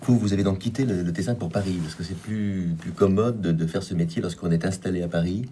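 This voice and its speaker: A man's voice: deep voice, quick pace, warm tone, a little hiss or hum. A man hosting a radio interview, asking long, formal, winding questions. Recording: radio studio.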